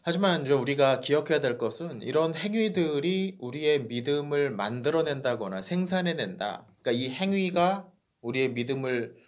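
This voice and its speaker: Male co-host, hoarse from a cold, calm and reflective, medium pitch. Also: normal speed. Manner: calm, thoughtful explaining. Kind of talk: reflective book discussion.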